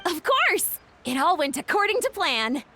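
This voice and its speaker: Game role, a dramatic, playful girlish artist: bright, piercingly high, taking her time over phrases. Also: big pitch swings, a little slow, faint music behind, heavily acted. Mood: excited. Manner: dramatic, savoring her words. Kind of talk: video game cutscene acting.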